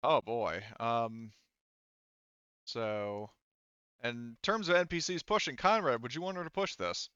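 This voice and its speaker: A man's voice: medium pitch, unhurried, long pauses, fairly bright tone, clean tone, highs cut off. A man acting as game master in a tabletop game, casually narrating and refereeing as he thinks aloud in a hesitant way.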